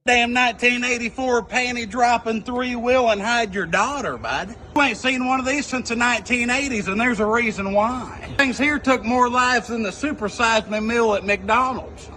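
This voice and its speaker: A man's voice: very high voice, moderate pace, hardly any pauses, pushed and bright. A man delivering a brash, crude comic sales rant in the manner of a hollering hype-man. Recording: noisy outdoor clip with ambience.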